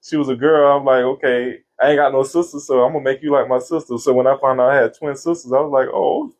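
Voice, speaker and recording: A man of fifty from Florida, telling stories from his life in a relaxed interview. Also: mid-range voice, fast talker, rough recording. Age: 50s. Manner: relaxed, laughing, Southern slang. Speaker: interview guest, singer. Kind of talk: casual storytelling interview.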